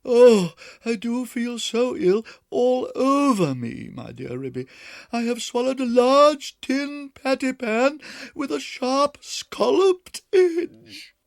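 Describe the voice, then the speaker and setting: A man's voice: medium pitch, huge pitch swings, unhurried, breathy edge, no echo, studio quality, pitched above the performer's own voice, full character voice. A man voicing a greedy, anxious female dog who whines and moans melodramatically. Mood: wailing. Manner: whining, melodramatic.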